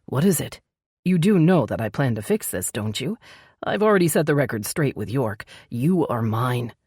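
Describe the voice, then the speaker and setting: A woman voicing a possessive, hot-tempered male vampire lover in a romance audiobook, growling and forceful in an insistent way. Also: very animated, moderate pace, breathy edge, dry recording, studio quality, full character voice. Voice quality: low, growling. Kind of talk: dramatic romance audiobook dialogue.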